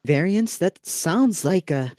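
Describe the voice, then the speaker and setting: A man's voice: sits high, lively intonation, slow pace, darkish tone, no echo, clean studio sound. A man playing a focused, impatient teenage wizard schoolboy in an amateur audio drama. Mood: urgent, playful. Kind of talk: hobby audio drama acting.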